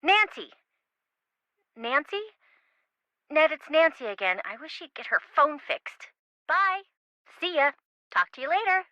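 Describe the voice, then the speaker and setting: Casting: a dramatic, slangy young female friend for a game; high, piercingly bright, lively delivery. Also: unhurried, pauses often, dry recording, heavily acted. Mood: impatient, cheery. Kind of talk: video game voice acting.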